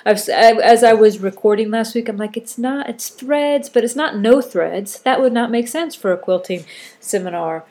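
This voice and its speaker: A woman's voice: on the high side, normal speed, darkish tone. A middle-aged woman with a stuffy nose from a cold, hosting a solo podcast in a casual, rambling way and often correcting herself. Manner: chatty and rambling, with self-corrections. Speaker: podcast host.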